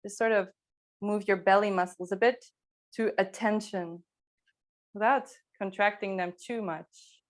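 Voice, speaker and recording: Calm woman guiding a slow yoga class. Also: medium pitch. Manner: calm, unhurried, gently encouraging. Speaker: yoga teacher. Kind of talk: guided slow movement and breathing instruction.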